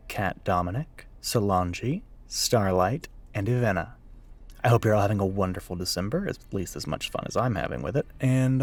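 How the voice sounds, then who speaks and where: A man speaking informally as an audio creator, giving a casual, friendly sign-off to listeners. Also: medium pitch. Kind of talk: casual outro thanking listeners.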